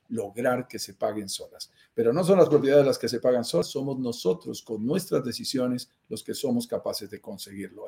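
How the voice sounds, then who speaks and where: Male host, Colombian accent, mid-pitched voice, courteous explainer for an informal live business talk. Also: mellow. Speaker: host and commercial director of a real estate investment business. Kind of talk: conversational live show presenting.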